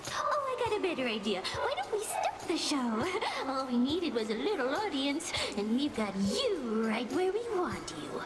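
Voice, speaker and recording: Showboating game siren: very high, wildly swooping voice, cocky and teasing. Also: female voice, sing-song, moderate pace, few pauses, heavy background noise. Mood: rowdy, showy. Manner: teasing, swaggering. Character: brash, cocky female siren singer, showboating. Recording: loud music behind voice.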